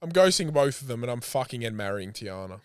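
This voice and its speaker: A male podcast host with an Australian accent talks in loose, sweary banter. His pitch is average for a man, and the sound is dry and close. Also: sing-song, quick pace, clear, resonant tone, dry recording. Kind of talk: casual banter, frequent swearing.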